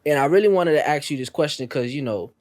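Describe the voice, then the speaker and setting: A young man from North Miami co-hosting a podcast, asking questions in a joking, animated way. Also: fairly high pitch, fast talker. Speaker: podcast co-host. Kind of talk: casual podcast conversation.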